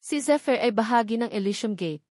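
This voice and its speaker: A woman's voice: on the high side, lively intonation, a little fast, darkish tone, no echo, clean studio sound. A woman reading a web novel aloud as a scripted story narrator. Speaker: audiobook narrator.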